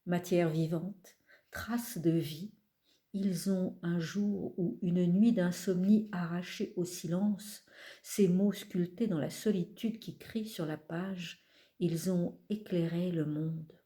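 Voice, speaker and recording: A woman poet reading her own poems aloud in a calm, measured way, pausing between phrases. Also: lowish voice. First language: not French. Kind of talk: slow poetry reading.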